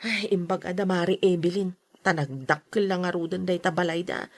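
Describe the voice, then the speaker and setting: A woman's voice: on the low side, fairly even intonation, moderate pace, lightly acted. A woman playing a plain-spoken wife in an Ilocano audio drama, with an Ilocano accent. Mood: worried.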